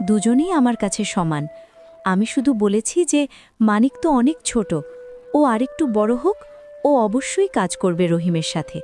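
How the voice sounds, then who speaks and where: Woman acting a cruel, high-voiced village stepmother. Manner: scolding, sharp-tongued. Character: cruel scheming village stepmother favouring her own son. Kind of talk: cartoon story voice acting. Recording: music behind the voice.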